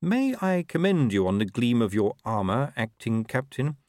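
Male ruler, narrator-acted for storybook: urbane, formal, deadpan, patient, sardonic, close dry sound. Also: mid-range voice, moderate pace, no echo, close to an everyday voice.

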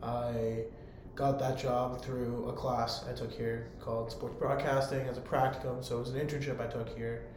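A hoarse young man, a college public address announcer, answering interview questions in an eager, rambling way full of fillers. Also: mid-range voice, flat delivery, normal speed. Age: young adult. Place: room on a college campus. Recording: very echoey room, faint background hum.

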